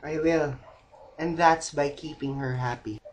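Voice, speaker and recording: A woman with a Filipino accent voicing a seriously ill young man who speaks softly and earnestly, at times gently teasing. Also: low-pitched, fairly even intonation, slow pace, poor audio. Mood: anguished. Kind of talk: amateur audio drama acting.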